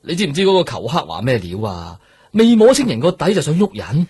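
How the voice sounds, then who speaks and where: A man acting in a Cantonese radio drama as an exasperated, grumbling middle-aged police superior, with a husky voice and Hong Kong accent. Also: a little high, wildly swooping pitch, brisk, a little mellow, heavily acted.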